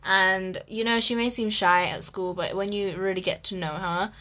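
Chatty giggly teenage girl, bright voice. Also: mid-range voice, normal speed. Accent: Egyptian. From Egypt. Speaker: podcast co-host. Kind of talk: casual chat.

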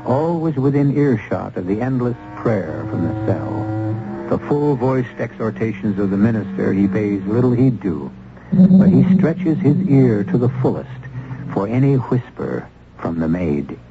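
An actor serving as host and storyteller of a mystery radio play, narrating from a script. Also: male voice, on the low side, big pitch swings, unhurried, noisy background. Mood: ominous. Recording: dull old radio recording with music. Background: loud dramatic music.

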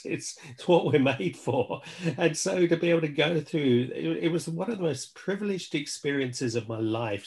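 A man's voice: medium pitch, a little mellow, husky. A man talking reflectively as a podcast guest, earnest, repeating phrases for emphasis. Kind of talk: reflective conversation.

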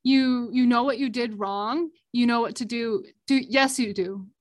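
A woman's voice: a little high, measured pace. A woman in her thirties co-hosting a casual faith podcast, playful and teasing, laughing often. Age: 30s. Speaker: podcast co-host. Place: in a room with the host, in person.

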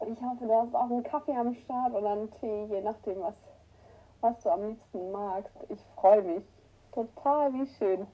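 A woman with a cold hosting a casual morning livestream, greeting her viewers by name and clearing her throat often. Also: fairly high pitch, steady tone, normal speed, muffled recording. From northern Germany. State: has a cold, hoarse at times. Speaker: livestream host. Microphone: new microphone that is not working right.

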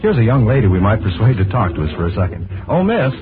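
A man's voice: on the low side, very animated, quick pace, over music. A man announcing in a scripted 1940s radio style, polished, genial and formal. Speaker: radio announcer and sponsor host. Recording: narrow 1940s radio broadcast.

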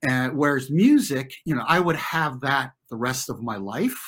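A man's voice: medium pitch, expressive, a bit bright, husky. Middle-aged American man reflecting in conversation. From US, New York and New Jersey. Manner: informal and halting, circling back to rephrase. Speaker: interview guest, former competitive swimmer.

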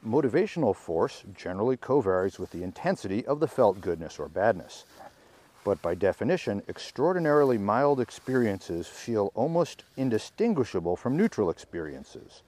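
A man reading a research article aloud in a measured, explanatory way, with informal asides and the odd self-correction. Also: lowish voice, darkish tone. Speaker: podcast reader and commentator.

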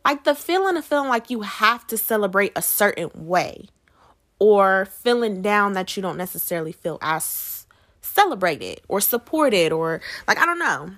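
Woman podcast host just chatting away, medium pitch, conversational, tosses questions out to listeners. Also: big pitch swings, moderate pace, fairly bright tone. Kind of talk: casual solo podcast chat.

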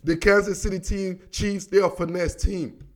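An American man giving casual, slangy football commentary in an animated, emphatic, repetitive manner, talking straight to his listeners. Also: high voice, normal speed. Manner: animated, emphatic, repeats himself, addresses listeners as dog and baby. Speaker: sports commentator, host.

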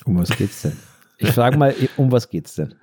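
A man's voice: mid-range voice, very animated, normal speed, warm tone, breathy edge, no echo, clean studio sound. A man in casual, unscripted podcast talk, explaining things and repeating phrases for emphasis. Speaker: podcast co-host. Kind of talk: casual unscripted podcast chat about photography.

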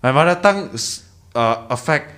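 Young male podcast guest, halting and talkative, mid-pitched. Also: animated, a bit bright. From Indonesia. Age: young adult. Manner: hesitant, many restarts and fillers, lots of "yeah". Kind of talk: casual chat.

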